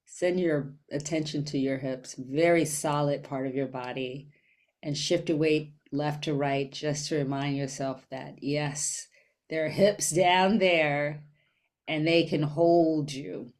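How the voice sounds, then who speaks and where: A woman leading a guided meditation in a gentle, unhurried manner with long pauses. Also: sits low, steady tone.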